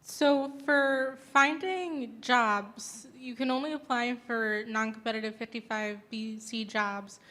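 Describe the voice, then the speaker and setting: Woman in the audience asking stuff, kinda high and bright voice. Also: slow, few pauses. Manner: inquisitive, asks follow-ups. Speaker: audience member asking questions.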